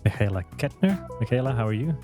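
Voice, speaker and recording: A man's voice: mid-range voice, very animated, quick pace, music in the background. A man hosting a podcast, joking around and rambling with frequent restarts. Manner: jokey, rambling, restarts a lot. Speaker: podcast host. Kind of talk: casual interview.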